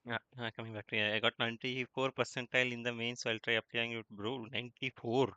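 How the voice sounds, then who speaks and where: A man chatting casually on a game livestream, halting and repeating himself as he reacts. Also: medium pitch, little pitch movement, pushed and bright, clear, resonant tone, dry recording, highs cut off. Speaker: game streamer. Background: game music.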